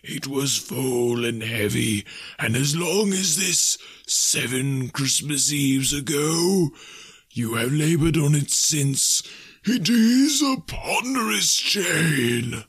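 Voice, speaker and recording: A man voicing a mournful chained ghost in a classic story reading, with slow, solemn, wailing declamation. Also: fairly high pitch, expressive, a bit bright, breathy edge, no echo, voice pushed up, exaggerated voice. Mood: grave, menacing. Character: mournful male ghost in chains, tormented by remorse.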